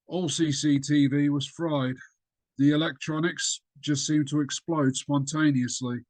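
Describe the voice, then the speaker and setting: A man voicing a gruff, sarcastic older male detective in a put-on Geordie accent. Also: fairly high pitch, fairly even intonation, measured pace, a little mellow, no echo, lightly acted. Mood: matter-of-fact. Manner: dry sarcastic drawl.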